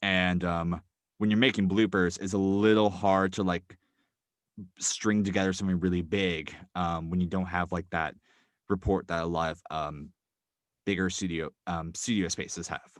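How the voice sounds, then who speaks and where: Man, very low voice, hesitant, lots of fillers, dry sound. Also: steady tone, moderate pace, no echo. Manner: hesitant, many ums and likes. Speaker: podcast guest, audio drama writer. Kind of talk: casual podcast chat.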